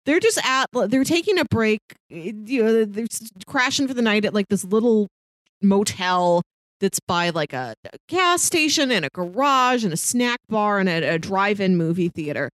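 A woman's voice: mid-range voice, big pitch swings, normal speed, dry recording. A woman co-hosting a podcast, chatting casually about film in a conversational way peppered with "like.". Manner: conversational, frequent "like". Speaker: podcast co-host. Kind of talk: casual film discussion chat.

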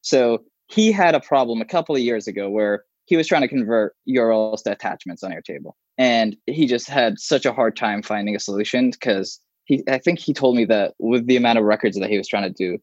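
A man's voice: medium pitch, fairly quick, few pauses, no echo. Arabic-first-language guy rambling thru an interview, lots of restarts, kinda muffled dry audio. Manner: rambling, frequent restarts and fillers. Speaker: software developer guest. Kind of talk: casual interview conversation.

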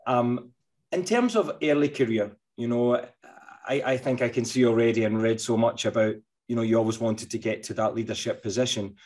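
A man's voice: mid-range voice, normal speed, darkish tone. A middle-aged man hosts an interview in a casual, eager way, with a mid-range voice. He stumbles over words and repeats them as he frames his questions. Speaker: podcast host.

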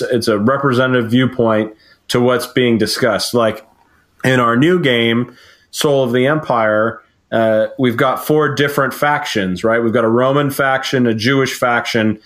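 A man's voice: medium pitch, unhurried, a bit bright. A man from Connecticut, a game designer guest on a podcast, explaining earnestly with fillers and restarts. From Connecticut, USA. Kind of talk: casual podcast conversation.

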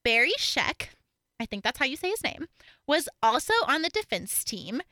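A young woman from Oklahoma with an American accent that occasionally slips into a Southern twang, chatting casually and gigglily as a podcast co-host in a high-pitched voice. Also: normal speed, dry recording, clean studio sound. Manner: giggly, animated, informal with frequent "like". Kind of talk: casual true-crime podcast chat.

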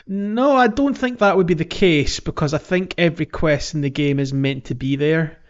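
A man's voice: a little high, moderate pace, highs cut off. A man hosting a podcast, chatting casually about gaming in a jokey way, often chuckling as he talks. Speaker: podcast host.

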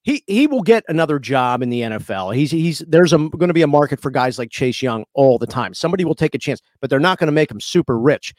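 A man's voice: on the high side, lively intonation, fast, few pauses, a little mellow, dry recording, studio quality. An American man co-hosting a sports podcast in casual banter, blunt, slangy and opinionated.

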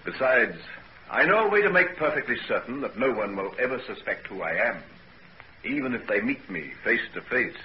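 A man acting an earnest, secretive young gentleman in a 1940s radio drama. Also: mid-range voice, expressive, normal speed, highs cut off, lightly acted. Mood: earnest, assured. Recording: noisy old 1940s radio recording.

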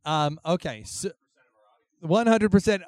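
A young man with a voice gone hoarse, co-hosting a live panel show and rambling playfully with lots of fillers. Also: high voice, slow, a little mellow, no echo. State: hoarse from losing his voice. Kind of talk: casual comedic banter with a live audience.

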